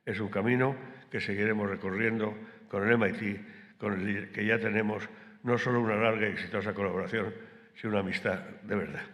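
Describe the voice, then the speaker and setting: A man with a lowish voice speaks formally and in a measured way as host of the event. His words ring out with a long reverb in the hall. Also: steady tone, fairly bright tone. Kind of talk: formal speech. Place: conference hall.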